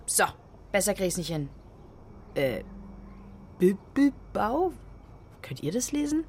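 An actress playing a matter-of-fact, inquisitive friend in a naturalistic radio drama, with faint background noise. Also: female voice, medium pitch, big pitch swings, slow pace, a little mellow. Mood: focused, curious.

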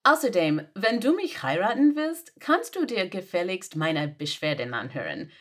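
A woman's voice: fairly low pitch, very animated, moderate pace. A woman voicing a flustered young female assistant who answers in baffled, exasperated questions. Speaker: podfic reader. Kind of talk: German audio drama reading.

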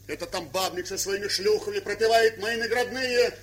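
Aggrieved young soldier, hothead, bright strained high voice, theatrical bellowing. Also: male voice, moderate pace, slight background noise. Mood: indignant. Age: young adult. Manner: bellowing, indignant. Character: hot-headed, aggrieved young soldier, blustering and hungry. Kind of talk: theatrical stage drama acting. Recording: roomy 1970s radio-play recording.

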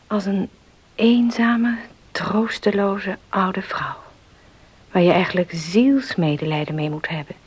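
An actress in a Dutch radio drama playing a compassionate, earnest woman, speaking softly in a husky, breathy voice. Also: mid-range voice, slow.

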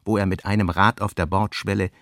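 A man's voice: lowish voice, little pitch movement, brisk, a bit bright, dry recording, studio quality. A man narrating literary prose for a radio play in a scripted reading style.

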